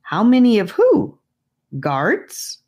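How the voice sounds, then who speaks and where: Woman as a serious, animated boy guard. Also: on the high side, takes it slowly, voice pushed up, full character voice. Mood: questioning. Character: serious ten-year-old boy guard of a cave kingdom.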